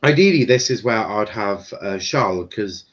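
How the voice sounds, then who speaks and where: A man's voice: on the low side, animated, moderate pace, a bit bright. A young man hosting a casual football commentary stream, rambling with fillers and swearing. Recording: dull lo-fi stream audio.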